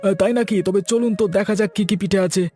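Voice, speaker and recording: Man playing a high-voiced, courteous city gentleman. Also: steady tone, a little fast, natural voice. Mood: courteous, interested. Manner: courteous, earnest. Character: polite city gentleman who collects vanishing traditional foods. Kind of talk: Bengali cartoon story dubbing. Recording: music behind the voice.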